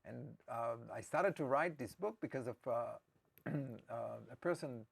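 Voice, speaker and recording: A man's voice: medium pitch, unhurried, creaky, husky. A man with an Israeli accent, a native Hebrew speaker, telling his personal story as an interview guest in a hesitant, reflective way. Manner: hesitant and reflective, with frequent restarts.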